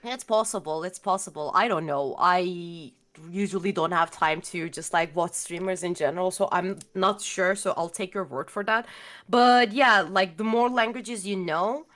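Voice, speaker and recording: A woman's voice: fairly low pitch, normal speed, a bit bright. A young woman with a Turkish accent, a guest chatting casually, rambling and hunting for words with many fillers. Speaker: interview guest. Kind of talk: casual video-call chat.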